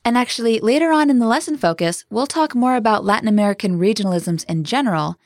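A woman hosts a language lesson in an upbeat, teacherly way. Her voice sits a little high and moves with lots of melody. The sound is dry and close, straight from a studio mic. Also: lively intonation, fast, no room sound. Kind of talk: scripted lesson dialogue.

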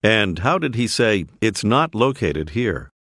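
Slow, lively-pitched male lesson narrator. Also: no room sound. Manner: clear, prompting the listener to repeat. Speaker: language course narrator. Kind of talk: scripted audio lesson instructions. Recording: dry studio voice-over.

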